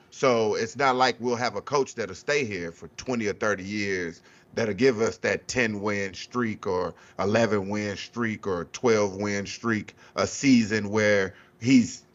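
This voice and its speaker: A man's voice: on the low side, a bit bright, highs cut off. A man talking sports casually on a panel, blunt and informal, often circling back to restate his point. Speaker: sports talk show guest or panelist. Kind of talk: casual unscripted sports debate.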